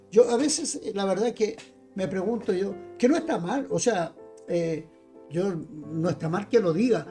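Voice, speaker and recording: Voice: mid-range voice, darkish tone. A preacher from Chile, teaching the Bible informally and emphatically, speaking straight to listeners and repeating phrases. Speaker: evangelical preacher.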